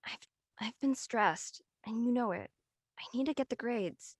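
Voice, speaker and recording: A woman's voice: a little high, a little slow, no room sound. A woman playing a stressed, studious, apologetic teenage schoolgirl in a drama read, speaking earnestly. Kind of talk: audio drama table read acting.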